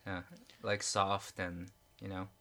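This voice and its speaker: A man's voice: low-pitched, flat delivery, slow pace, bright, forceful tone. A man in his forties chatting casually as a podcast host, rambling and laughing often. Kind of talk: casual chat.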